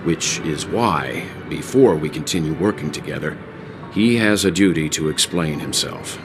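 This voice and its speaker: An actor playing a composed, analytical mature man in a video game, speaking in a measured, formal way. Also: extremely low pitch, lively intonation. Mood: grave, reasoning. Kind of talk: video game cutscene acting. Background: background music.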